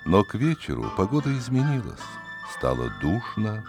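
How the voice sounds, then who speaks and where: A man reads a story as an audiobook narrator, in an expressive, suspenseful storytelling manner. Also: lowish voice, normal speed. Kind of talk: dramatic scripted story narration. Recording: music behind the voice. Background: music.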